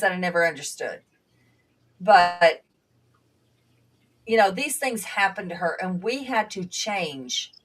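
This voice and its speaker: A woman whose first language was Bulgarian, a panel guest and former nursing assistant, speaking earnestly and reflectively with halting restarts. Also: fairly low pitch, recorded from a distance. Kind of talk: conversational panel talk.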